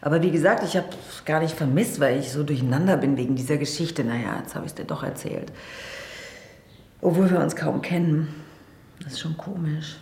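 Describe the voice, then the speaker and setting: Radio drama: a disillusioned female intellectual, lowish voice, reflective and guarded, roomy acoustic. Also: normal speed, gravelly, darkish tone. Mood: weary, reflective. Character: troubled, guarded woman writer, disillusioned about love. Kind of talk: naturalistic radio drama acting.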